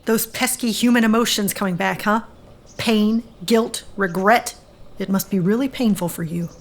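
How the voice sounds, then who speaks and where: A woman's voice: mid-range voice, moderate pace, close to an everyday voice. A woman playing a blunt, defiant character in an audio drama, dry and pointed in delivery. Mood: cutting.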